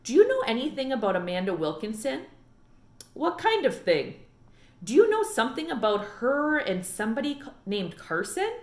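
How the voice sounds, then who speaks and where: A woman's voice: a little high, wildly swooping pitch, normal speed, darkish tone, roomy sound. A woman voicing an earnest, curious and stubborn young girl in a storybook read-aloud.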